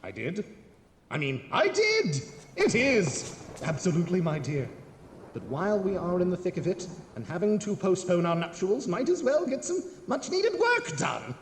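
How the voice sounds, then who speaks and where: A man's voice: high-pitched, wildly swooping pitch, normal speed, exaggerated voice. A man playing a pompous, irritable young mad scientist in a comedic horror audio drama, theatrical and easily exasperated. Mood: flustered placating. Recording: noisy, echoing hall reverb, clicks.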